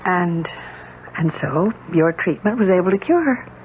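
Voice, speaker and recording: A woman's voice: lowish voice, animated, a little slow. An actress playing an anxious, pleading married woman in a 1970s radio drama, hesitant and earnest. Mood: anguished, desperate. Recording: muffled old radio broadcast, faint hiss.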